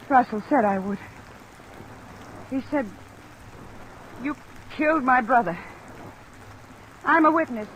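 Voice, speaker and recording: A woman's voice: a little high, a bit bright. An actress playing a frightened, despairing young woman in an old radio drama, with a pleading, fearful delivery. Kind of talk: 1950s radio drama acting. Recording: noisy old radio recording, rain behind.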